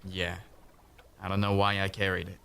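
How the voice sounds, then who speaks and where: Young guy doing a nervous earnest young man, lowish bright voice. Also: completely flat delivery, moderate pace, vocal fry, slight background noise, exaggerated voice. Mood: uneasy. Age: young adult. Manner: anxious, then frantic.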